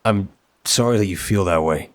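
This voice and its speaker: A man's voice: deep voice, moderate pace, natural voice. A man playing an affectionate, apologetic young mafia boss boyfriend, speaking earnestly and politely with hesitant stammers in an intimate roleplay audio drama. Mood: sheepish, candid.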